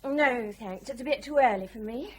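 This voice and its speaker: An actress playing a sardonic, nagging wife of an airline pilot in a radio drama, with a biting manner. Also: female voice, fairly high pitch, moderate pace, breathy edge, rough recording. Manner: biting, sarcastic.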